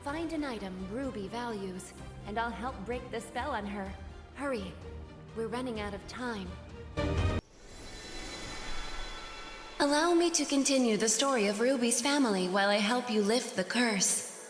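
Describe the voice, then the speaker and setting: A woman's voice: a little high, close to an everyday voice. Echoing, solemn female spirit storyteller, voiced by an actress. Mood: urgent. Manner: solemn, measured. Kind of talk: scripted storybook narration in a game. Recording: echoey reverberant effect, music behind.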